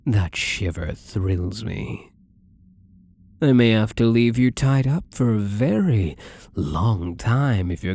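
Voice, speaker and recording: A man playing a smug, possessive wealthy gambler in an intimate ASMR roleplay, suave and teasing with a breathy, husky voice. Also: fairly low pitch, a little mellow, full character voice. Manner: suave, teasing, theatrical endearments. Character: possessive, smug, wealthy male gambler doting on captive lover.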